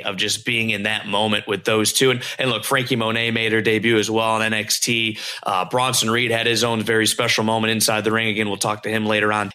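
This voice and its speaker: A man co-hosting a wrestling podcast, his voice a little raspy, talking in an excitable, rambling, self-deprecating way. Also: on the low side. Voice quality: slightly raspy. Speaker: wrestling commentator, podcast co-host. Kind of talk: casual wrestling chat.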